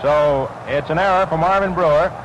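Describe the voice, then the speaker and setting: An American man calling baseball play-by-play in 1940s radio style, describing the action in crisp phrases. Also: a little high, normal speed, muffled recording. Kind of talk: 1940s radio sports commentary. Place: ballpark broadcast position. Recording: noisy vintage 1940s radio broadcast.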